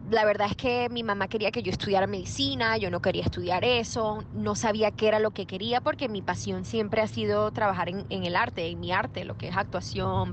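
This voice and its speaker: A young adult woman from Venezuela, an actress answering interview questions in an animated way, with quick restarts and repeated words. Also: medium pitch, highs cut off, noisy background. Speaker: actress guest.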